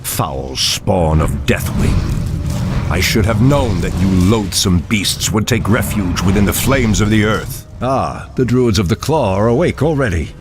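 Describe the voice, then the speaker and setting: A man playing a grave, proud night elf archdruid in a fantasy game, gravelly and solemn, with archaic, commanding speech. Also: fairly low pitch, animated, unhurried. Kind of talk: dramatic fantasy game acting. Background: music.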